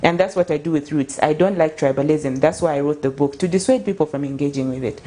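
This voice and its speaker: A woman's voice: sits low, quick pace, warm tone. A young Zambian woman, an author guest, talking earnestly and passionately in a casual interview, with frequent restarts. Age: young adult.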